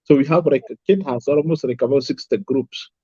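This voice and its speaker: A man's voice: medium pitch, a little fast, dark tone, husky, highs cut off. A man from Kenya, a guest from an apple farm, talking easily and repeating his words. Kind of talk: casual interview talk.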